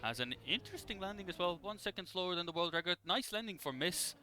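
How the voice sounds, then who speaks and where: A man giving live, conversational esports commentary in a halting, rambling way as he follows the play. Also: high-pitched, big pitch swings. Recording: clear background noise.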